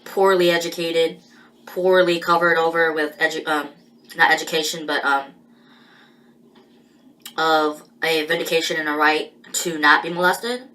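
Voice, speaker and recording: A woman speaks her mind in a slow, unhurried monologue, stopping and restarting her thoughts as she goes. Her voice sits on the lower side but has a bright, forward edge. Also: flat delivery, poor audio. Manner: halting and rambling, with many pauses and restarts. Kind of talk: personal spoken monologue.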